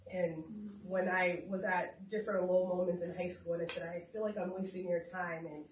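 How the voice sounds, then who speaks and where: A woman's voice: fairly low pitch, flat delivery, fairly quick, slight background noise. Young woman sharing testimony, echoey and distant. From Boston, United States. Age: young adult. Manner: casual, conversational. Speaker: campus minister, guest speaker at church. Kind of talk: informal church testimony. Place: large room at a church gathering. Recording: roomy, dull sound from distant mic.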